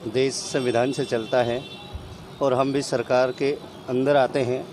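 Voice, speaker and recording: Male interviewee, mid-pitched, rambling and halting, loud outdoor noise. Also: little pitch movement. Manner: rambling, hesitant. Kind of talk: street interview.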